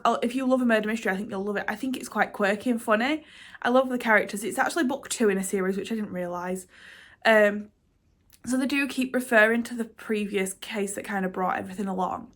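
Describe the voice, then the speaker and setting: A woman's voice: medium pitch, fairly bright tone. Chatty woman with a cold. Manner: rambling, chatty, self-deprecating, with many "um"s and restarts. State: congested with a cold. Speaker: book vlogger. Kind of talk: casual chatty vlog to camera.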